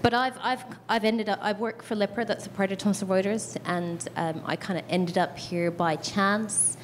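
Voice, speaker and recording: A woman in her thirties asks a question from the floor, chatty and self-deprecating. She plays out her own reactions in little asides. A faint rumble sits under the recording. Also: fairly even intonation, moderate pace. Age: 30s. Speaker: audience member asking a question.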